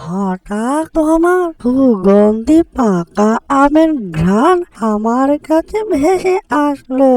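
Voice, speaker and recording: A woman voicing a meek, pleading mother bird in a cartoon, with a drawn-out theatrical delivery. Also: on the high side, warm tone. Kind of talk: slow, theatrical cartoon voice acting.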